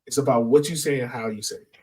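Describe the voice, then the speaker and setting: A man's voice: mid-range voice, fast, darkish tone. A man hosting a podcast in a casual, conversational style, explaining his points and checking in as he goes. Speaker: podcast host. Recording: voice distant from the microphone.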